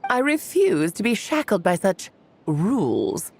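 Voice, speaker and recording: Fantasy game witch: bold, merry, defiant, theatrical, very lively intonation. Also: medium pitch, measured pace. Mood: playful defiance. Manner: theatrical, grandly declarative.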